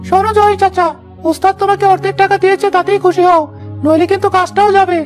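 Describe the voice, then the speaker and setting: Squeaky, sly young workhand voiced by a woman. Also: fairly even intonation, fast talker. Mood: smug. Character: sly, sycophantic young village workhand Kader, male. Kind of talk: Bengali cartoon fairy-tale voice acting. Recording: music behind the voice.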